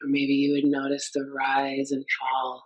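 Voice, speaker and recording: Female meditation guide, very low voice, gentle and unhurried. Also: monotone, low-quality recording.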